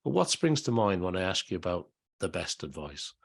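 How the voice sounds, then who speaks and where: A man with a very deep, low voice hosts an interview. He puts his questions politely and at a measured pace. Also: very animated, creaky, breathy edge. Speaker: podcast host.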